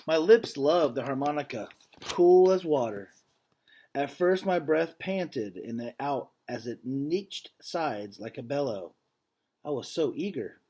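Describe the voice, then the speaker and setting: A man reading a picture book aloud like a teacher to students, with measured phrasing and casual explanatory asides. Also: a little high, animated, mellow, a little hiss or hum.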